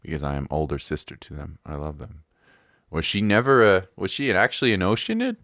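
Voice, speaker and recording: A man's voice: deep voice, big pitch swings, fairly quick, a bit bright, no echo, studio quality. A man giving casual gaming commentary, muttering asides and reading game text aloud. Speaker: gaming streamer.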